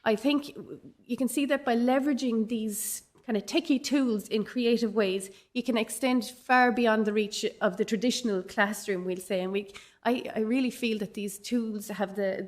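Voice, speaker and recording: A woman's voice: mid-range voice, steady tone, moderate pace, hardly any pauses. Irish woman from dublin, middle-aged, keynote talk, keen and earnest, lots of ums and restarts. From Ireland (Dublin). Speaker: university lecturer giving a keynote. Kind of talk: conference keynote presentation.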